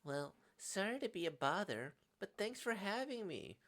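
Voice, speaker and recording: A man voicing a sweet, shy young woman in a visual novel, with a soft, gentle delivery. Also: high-pitched, big pitch swings, normal speed, pitched above the performer's own voice, full character voice.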